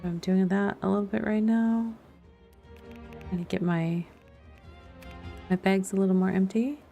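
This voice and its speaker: A woman's voice: mid-range voice, fairly even intonation, measured pace, creaky. A woman game streamer giving casual let's play commentary, thinking aloud to herself. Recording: faint music behind the voice. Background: soft background music.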